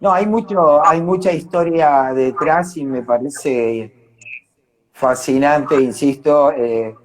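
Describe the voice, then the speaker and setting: A man with an Argentine Rioplatense accent, speaking conversationally as an actor being interviewed, animated in his telling. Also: on the high side, slow pace, poor audio.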